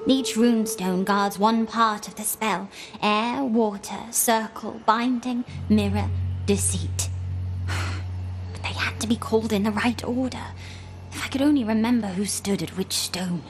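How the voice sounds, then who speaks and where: A woman voicing a solemn, gentle elf in a video game, wistful and watchful, speaking in a measured, earnest way. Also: medium pitch, steady tone, slight background noise, lightly acted. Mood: urgent, thoughtful. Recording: music behind the voice.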